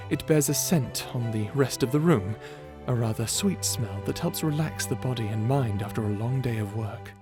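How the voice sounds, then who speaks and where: Dragon butler, male actor: bashful, courteous, hesitant servant for ASMR roleplay, medium-pitched, faint music underneath. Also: darkish tone, breathy edge, lightly acted. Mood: flustered, earnest. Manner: stammering, hesitant, deferential, formally polite. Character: shy polite old dragon butler, male, eager servant.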